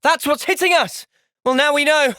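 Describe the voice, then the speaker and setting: An actor playing an earnest, thoughtful young male hero in a fantasy video game, speaking sincerely and clearly. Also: very high voice, moderate pace, bright, forceful tone, no echo, clean studio sound. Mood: urgent, intense. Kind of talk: video game cutscene voice acting.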